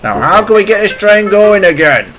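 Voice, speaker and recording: A man's voice: high-pitched, very animated, moderate pace, voice pushed up, poor audio. A man voicing a stern, authoritative railway controller in a children's storybook, with music and crowd noise behind. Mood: stern, cross.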